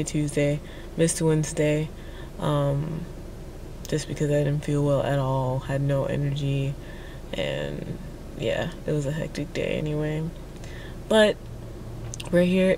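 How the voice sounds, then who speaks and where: A woman's voice: deep voice, a little mellow, slight background noise. A young woman with a congested voice and a sore throat, chatting casually about her day in a fitness vlog from the gym, rambling with lots of fillers. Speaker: fitness vlogger.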